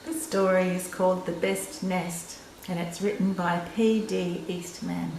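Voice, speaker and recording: A woman's voice: lowish voice, little pitch movement, unhurried, gravelly, darkish tone. An older woman reading a children's picture book aloud in short, simple phrases, with chatty asides to young listeners. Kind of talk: read-aloud story with casual asides. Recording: roomy echo, faint background noise.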